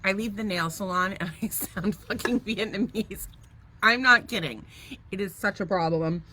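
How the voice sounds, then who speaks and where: Woman podcast co-host, bright and animated, rambling comic stories with faint room noise behind. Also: mid-range voice, moderate pace. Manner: animated storyteller, laughs often, swears freely. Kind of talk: casual chat.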